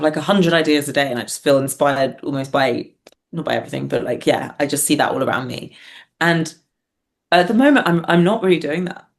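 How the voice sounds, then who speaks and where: A British woman talking as a podcast guest in a casual, reflective way, thinking aloud with some self-deprecation. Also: sits low. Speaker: podcast guest, playwright and performer. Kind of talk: casual, reflective interview chat.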